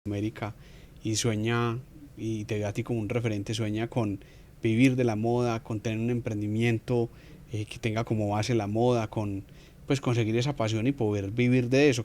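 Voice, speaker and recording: A man hosting a podcast interview who asks measured questions and adds short affirmations while his guest talks. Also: on the low side, little pitch movement, a little mellow, a little hiss or hum. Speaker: podcast host.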